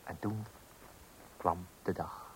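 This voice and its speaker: Low, husky Dutch storyteller father. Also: steady tone, slow pace, exaggerated voice, poor audio. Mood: wry. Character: wry family father narrating his household's mishaps. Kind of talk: radio play narration.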